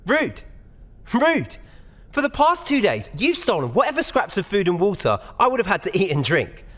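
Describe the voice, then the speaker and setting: A man's voice: high voice, wildly swooping pitch, moderate pace, a bit bright, full character voice. A man playing a sarcastic, exasperated young prisoner in an audio drama, recorded with long reverb. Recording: long hall-like cell reverb.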